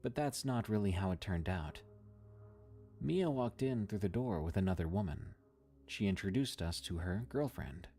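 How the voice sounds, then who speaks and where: A man reading a first-person horror story in a measured, somber scripted narration. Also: low-pitched, lively intonation, mellow, husky, soft background music.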